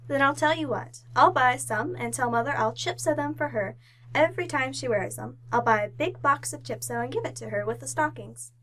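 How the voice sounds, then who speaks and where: A woman's voice: high voice, fairly quick, a bit bright, a little hiss or hum. A woman playing an eager, earnest young niece confiding in her aunt in an old-time radio commercial.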